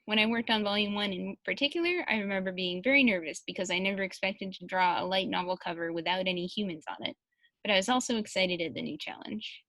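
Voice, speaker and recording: Woman, bright voice, mid pitch, casual interview chat, read-out answers, dry sound. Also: fast, no room sound. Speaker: translator reading illustrator's answers.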